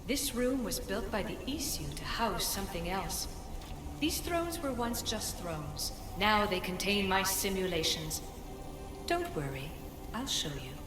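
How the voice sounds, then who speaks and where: A woman playing an ancient, solemn spirit guide in a video game, speaking in a measured, formal and cryptic way. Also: mid-range voice, lively intonation, lightly acted. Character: ancient female Isu spirit in a staff, solemn commanding guide. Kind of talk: scripted game cutscene acting. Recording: echoey hall reverb over music.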